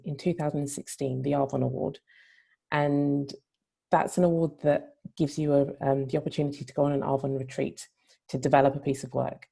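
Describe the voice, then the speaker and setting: A woman's voice: low voice, creaky, mellow, husky. A woman writer on a panel, introducing herself and her work at an online event.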